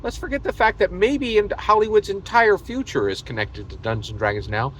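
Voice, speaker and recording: An American man hosting a casual, opinionated gaming monologue, speaking emphatically and repetitively, often halting mid-thought and leaning on hyperbole. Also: high-pitched, animated, a bit bright, a little hiss or hum. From United States. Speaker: host of a tabletop gaming video channel.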